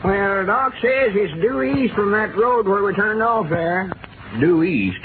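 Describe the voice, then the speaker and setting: High-voiced country man, tinny old radio. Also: measured pace. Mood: neighborly. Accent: rural Southern American. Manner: folksy, homespun country talk. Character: kindly rural old-timers trudging through snow on charity errand. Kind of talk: 1940s radio acting. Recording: tinny, noisy old radio broadcast recording.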